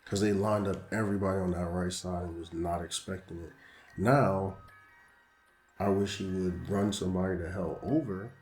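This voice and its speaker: Young male gaming commentator, losing his voice, very low pitch, casual slang; roomy echo with background music. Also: normal speed. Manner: casual, slangy, frustrated and animated. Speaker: gaming YouTuber. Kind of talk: gameplay commentary.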